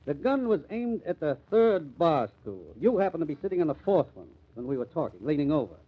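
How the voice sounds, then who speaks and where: An actor playing a brisk, suspicious police detective in a 1950s radio mystery. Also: male voice, fairly high pitch, sing-song, breathy edge. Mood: reasoning. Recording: muffled old radio recording.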